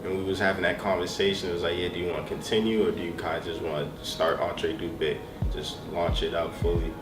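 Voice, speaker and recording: A man's voice: sits low, quick pace. A young man from Massachusetts, a small business consultant, talking in a casual, streetwise way in a profile interview. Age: young adult. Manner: casual, streetwise, animated self-talk. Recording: echoey room, distant mic, loud music bed. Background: loud background music.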